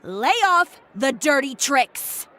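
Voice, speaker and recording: A woman voicing a proud, sharp-tongued, unapologetic young woman in a video game, with a haughty, sardonic manner. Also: sits high, expressive, slow pace, a little hiss or hum, exaggerated voice. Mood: scornful, menacing.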